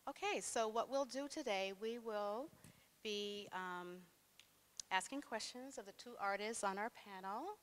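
A woman moderating a panel in a gallery, thanking sponsors and then asking questions with frequent pauses. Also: medium pitch. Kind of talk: opening remarks and questions at a panel. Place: art gallery, indoors.

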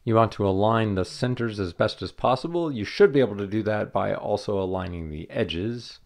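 A man's voice: lowish voice, brisk, gravelly. A man who hosts a channel, casually walking through a step-by-step craft demonstration in a craft room. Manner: relaxed, friendly step-by-step explaining. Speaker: channel host. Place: indoor craft room at a table.

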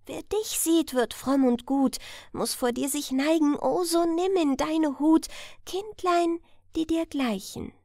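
A woman's voice: high-pitched, slow pace, a little mellow, no echo, studio quality, voice pushed up. A woman voicing joyful, devout, childlike little angels with a lilting delivery in a fairy tale. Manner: lilting verse recitation. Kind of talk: fairy-tale audiobook character reading.

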